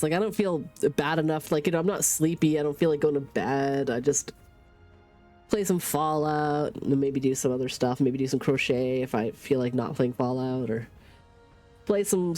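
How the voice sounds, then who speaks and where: A woman's voice: sits low, dark tone. A woman in her thirties streaming from home with a stuffy sinus cold, rambling casually, swearing and laughing.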